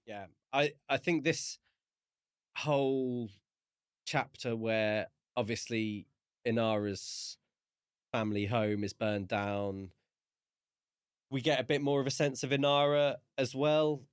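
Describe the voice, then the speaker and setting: A man co-hosting a podcast, discussing a book casually in halting, thoughtful phrases. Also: mid-range voice, fairly even intonation, smooth, dry recording, studio quality. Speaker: podcast co-host.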